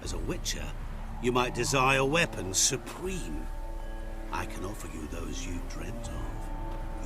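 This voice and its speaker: Sly, theatrical low-voiced merchant. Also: male voice, gravelly, heavily acted. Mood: seductive salesmanship. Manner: theatrical, eloquent, deliberate, slyly courteous. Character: suave, sinister male merchant with hidden powers. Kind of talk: video game character acting.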